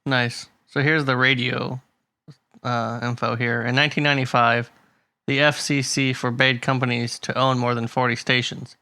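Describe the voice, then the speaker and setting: Bright-voiced man chatting on a podcast. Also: mid-range voice, level tone, moderate pace, clean tone, dry recording, studio quality. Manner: reads figures aloud, laughs often. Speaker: podcast co-host. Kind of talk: casual chat.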